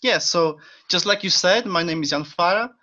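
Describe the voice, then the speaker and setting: A man's voice: high-pitched, normal speed, sharp tone. A young man from the Czech Republic with a Czech accent, speaking English as a second language as an eager podcast guest, full of fillers and short laughs. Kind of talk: casual interview chat. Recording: dull lo-fi sound.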